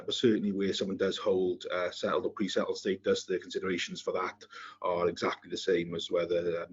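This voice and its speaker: A man with a Welsh accent presents in a business webinar, his voice very low and a bit husky. He hesitates often, filling gaps with ums and restarts. The sound is muffled, as from an online call. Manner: hesitant, many fillers and restarts. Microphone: video call.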